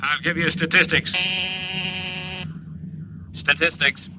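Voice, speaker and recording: Male starship radio operator, flat official delivery, clipped, impersonal, noisy transmission, vintage radio drama. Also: on the high side, takes it slowly, heavily acted. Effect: spaceship radio transmission. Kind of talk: 1950s radio drama acting. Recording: noisy, distant radio-link sound.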